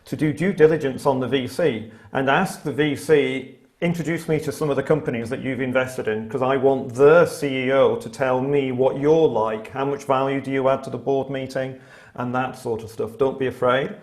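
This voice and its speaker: A man's voice: medium pitch, steady tone, normal speed. A man moderating a webinar panel discussion, speaking in a conversational, lightly humorous way.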